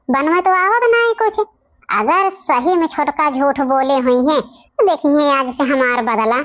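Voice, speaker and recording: Woman voicing a high-pitched, scolding village daughter-in-law. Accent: Awadhi. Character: suspicious, vengeful elder daughter-in-law of village household. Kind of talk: cartoon family comedy dialogue.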